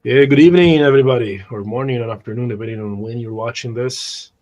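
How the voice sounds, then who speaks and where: A man with a slightly hoarse voice from a cold hosts a tabletop role-playing session. He chats casually and trips over his words with restarts as he plays his character. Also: medium pitch, fast, darkish tone, lo-fi sound. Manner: chatty, stumbling restarts while role-playing. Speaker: host and player.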